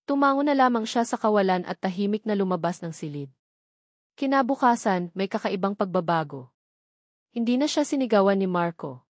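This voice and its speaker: A woman narrating a romantic audio drama in a measured storytelling manner. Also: medium pitch, expressive, no room sound, studio quality.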